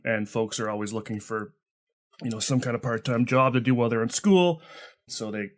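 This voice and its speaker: Rambling man hosting a podcast. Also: on the low side. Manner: rambling, many you-knows and restarts. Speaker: podcast host. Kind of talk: casual interview talk.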